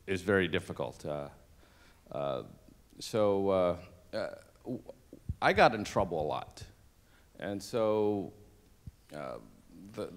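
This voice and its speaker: A middle-aged man from Cambodia, a native Cambodian speaker, telling his life story on a church stage into a handheld mic, halting with many fillers and restarts. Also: on the low side. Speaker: interview guest. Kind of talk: personal testimony on stage.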